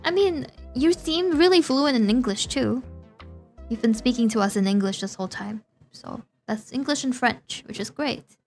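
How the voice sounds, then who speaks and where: Excitable young woman streamer, highish voice, giggly and chatty, soft game music underneath. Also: normal speed. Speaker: VTuber streamer. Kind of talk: casual game-stream commentary.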